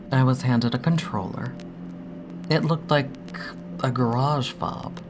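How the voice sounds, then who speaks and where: A woman acting a grieving, haunted daughter in an audio drama monologue, speaking intimately and haltingly with hushed, breathy dips. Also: a bass voice. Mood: tearful, wistful. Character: grieving adult daughter, anxious, haunted, longing for her dead father.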